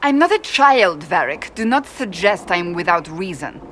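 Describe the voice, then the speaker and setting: A woman's voice: lowish voice, very animated, normal speed, fairly bright tone. A woman playing a proud, earnest, self-reproaching warrior in a video game, speaking formally and intensely. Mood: indignant. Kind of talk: dramatic video game acting. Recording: long hall reverb, background noise.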